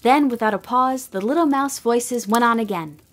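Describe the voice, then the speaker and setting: A woman narrating a Christmas storybook in a gentle, expressive storytelling manner. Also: fairly high pitch, moderate pace, a crowd in the background. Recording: music behind the voice.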